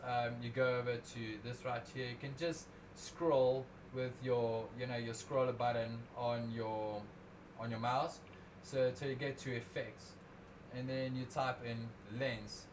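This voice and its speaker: A man's voice: medium pitch, steady tone, a little slow. Casual young man. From South Africa. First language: Afrikaans. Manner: rambling, self-correcting, casual. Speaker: drone video creator. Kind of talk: livestream screen-recorded editing tutorial. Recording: noticeable background noise.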